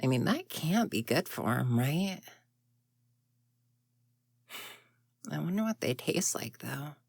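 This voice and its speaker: Woman acting a grumpy low-voiced tsundere ghost girl. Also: big pitch swings, slow pace, gravelly, darkish tone, breathy edge, dry recording, full character voice. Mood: puzzled, muttering. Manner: huffy, muttering to herself, indignant. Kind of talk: audio roleplay acting.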